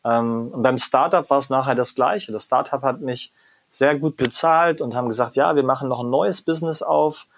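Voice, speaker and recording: A middle-aged German man, a professor, answering interview questions in a reflective, animated way and often ending phrases with "ja?" or "ne?". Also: medium pitch, normal speed, vocal fry, a little hiss or hum. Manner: reflective, animated, frequent "ja?" and "ne?" tags. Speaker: guest, professor of creativity and design.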